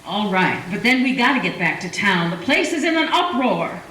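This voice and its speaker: A woman's voice: medium pitch, very animated, normal speed, pushed and bright, a little hiss or hum. A woman playing a blunt frontier townswoman. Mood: urgent. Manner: urgent, blunt. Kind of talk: community radio play acting. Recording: echoey room.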